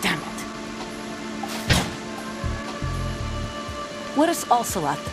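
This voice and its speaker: A woman's voice: mid-range voice, very animated, a little fast, natural voice. A woman playing a hard young mercenary commander in a video game, curt and guarded. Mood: curt, wary. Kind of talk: dramatic video game voice acting. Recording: music behind the voice.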